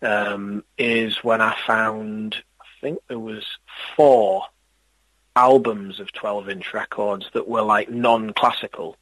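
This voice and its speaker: A man's voice: on the low side, fairly even intonation, slow, a bit bright, no echo, rough recording. A man chatting about his hobby in a casual interview, rambling and hesitant with frequent restarts. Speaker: interviewer and record collector.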